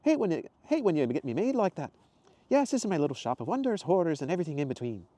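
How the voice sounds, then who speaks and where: A man voicing a bubbly, eccentric woman shopkeeper in her thirties, loud and gushing. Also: on the high side, big pitch swings, fast talker, dry recording, pitched above the performer's own voice.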